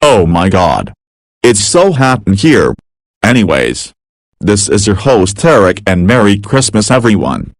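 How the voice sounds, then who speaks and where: Man playing radio host, amateur drama, lowish voice, dry sound, overheated, disaster report. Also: sing-song, a little slow, dry recording, exaggerated voice. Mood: uneasy, reporting.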